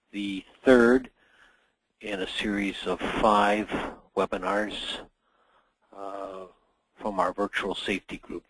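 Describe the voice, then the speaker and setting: Hoarse man giving a safety webinar. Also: on the low side, no echo, rough recording. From Canada. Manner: measured, frequent "uh" pauses. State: hoarse from a cold. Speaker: association general manager presenting a webinar. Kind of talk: webinar presentation.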